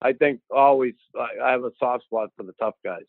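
An older man with a Boston accent, a guest on a podcast, telling stories in a gruff, casual way with frequent restarts. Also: mid-range voice, a little fast, no echo. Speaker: podcast guest, former hockey enforcer. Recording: tinny phone-like line, dry.

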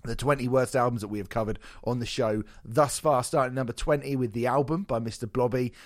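A man hosting a casual music podcast, jokey, sweary and self-deprecating, his voice a little hoarse from a sore throat. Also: mid-range voice, brisk, dry recording, clean studio sound. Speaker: podcast host.

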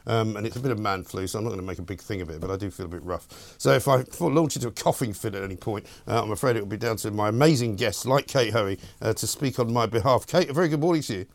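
A man with a British accent hosting a talk radio show, his voice scratchy and hoarse from a cold, talking in a brisk, sardonic and emphatic way. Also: fairly low pitch. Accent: British English. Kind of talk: talk radio monologue and interview.

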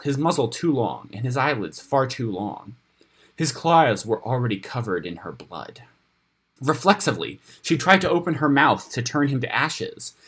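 Husky-voiced man narrating a fantasy story. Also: mid-range voice, fairly bright tone. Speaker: story reader on a podcast.

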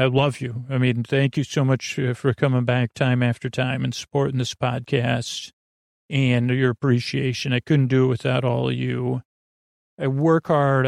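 A man with a creaky voice hosting a bedtime podcast, rambling soothingly and haltingly to the listener with meandering self-corrections. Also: medium pitch, a little mellow, no echo, clean studio sound. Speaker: podcast host. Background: music.

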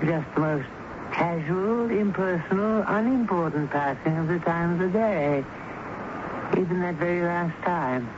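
An actor in a vintage radio drama playing a fussy, gossipy night manager, chatty and hesitant with arch emphasis. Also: male voice, high-pitched, a little slow, dull audio. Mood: gossipy, scandalized. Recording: noisy, band-limited old radio broadcast.

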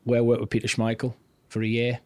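A man's voice: mid-range voice, monotone, fast, no echo. An older man from north-east England, a guest on a studio podcast, tells long stories in a loose, chatty way. He often restarts his sentences and slips into the voices of people he is quoting. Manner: chatty, rambling, restarts sentences, acts out quotes. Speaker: podcast guest, former goalkeeping coach. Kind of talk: casual anecdotal storytelling.

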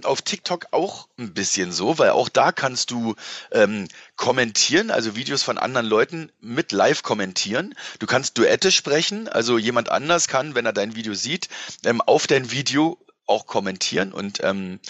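A man's voice: mid-range voice, big pitch swings, moderate pace, fairly bright tone, dry recording, muffled recording. A middle-aged man chatting casually as an interview guest, explaining things in a colloquial, rambling way. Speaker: podcast guest, musician and entertainer.

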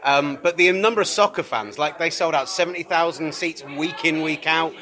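A man hosting a wrestling podcast, relaxed and chatty as he wraps up and asks questions. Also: a little high, normal speed. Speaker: podcast host. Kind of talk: podcast outro and interview questions.

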